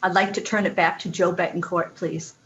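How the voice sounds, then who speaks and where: Measured woman's voice, slightly muffled. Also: fairly low pitch, steady tone, a bit bright, slight background noise. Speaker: nurse presenting a poem. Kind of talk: prepared reflective commentary.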